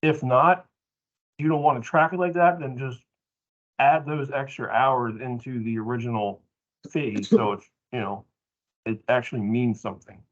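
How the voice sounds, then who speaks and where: A man informally explaining a point at a workplace training meeting, halting at times and emphatic. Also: mid-range voice, fairly even intonation. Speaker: training participant adding comments. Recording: dull lo-fi meeting audio.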